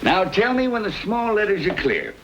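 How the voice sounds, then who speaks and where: A man's voice: high-pitched, wildly swooping pitch, moderate pace, fairly bright tone, highs cut off, a little hiss or hum, heavily acted. An actor playing a patient, helpful man fitting spectacles, explaining things in an old-time radio western.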